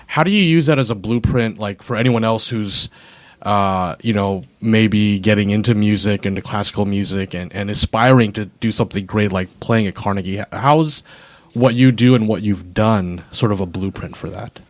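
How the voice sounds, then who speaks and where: Man, co-host, relaxed, joking, lowish voice, dry. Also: normal speed, no echo. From USA. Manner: relaxed, joking, rambling questions. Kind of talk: casual panel interview.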